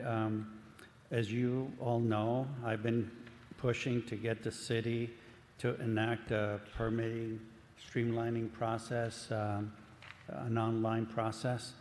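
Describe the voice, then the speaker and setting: Man, Cuban accent, meeting chair; monotone, halting delivery; reverberant council chamber. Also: medium pitch. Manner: plain, unhurried, halting with fillers. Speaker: planning commission chair. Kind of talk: running a public meeting. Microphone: desk microphone up close. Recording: long hall reverb, faint room noise.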